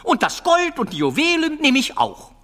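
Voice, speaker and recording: A man's voice: very high voice, wildly swooping pitch, moderate pace, fairly bright tone, full character voice. A man playing a sly, grumpy, greedy evil sorcerer nephew in a children's fantasy audio drama, theatrical and sneering. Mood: mock pity. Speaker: voice actor. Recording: long hall-like reverb.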